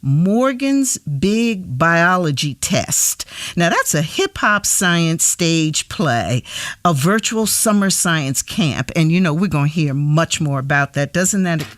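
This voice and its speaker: A woman's voice: lowish voice, expressive, no echo. Bubbly lady host gushing over her guest, dead dry mic sound. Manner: upbeat, effusive, quick affirmations. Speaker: show host. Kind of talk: scripted intro then casual interview.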